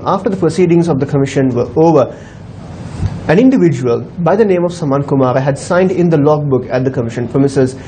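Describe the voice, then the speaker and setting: Mellow Sri Lankan male news reporter. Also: on the high side, a little hiss or hum, poor audio.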